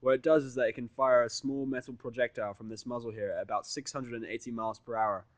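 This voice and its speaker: A man's voice: mid-range voice, steady tone, quick pace, dry recording, close to an everyday voice. A man playing a defiant, foul-mouthed human who bluffs and scolds in a brash, sarcastic, confrontational way in an audio story. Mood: bluffing.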